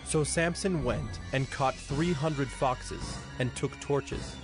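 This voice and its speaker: A man's voice: medium pitch, slow. Male scripture narrator over music.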